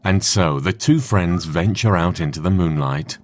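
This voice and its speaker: A man's voice: sits low, moderate pace, slight background noise. A young man reading dramatic scripted story narration for a comic dub. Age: young adult.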